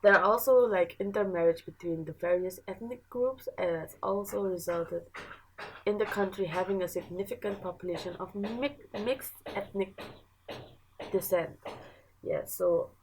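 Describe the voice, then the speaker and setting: Creaky-voiced young Surinamese woman vlogging. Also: mid-range voice, noisy background. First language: Dutch. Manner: chatty, halting, restarts words, laughs often. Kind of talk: casual vlog, reading facts aloud and commenting.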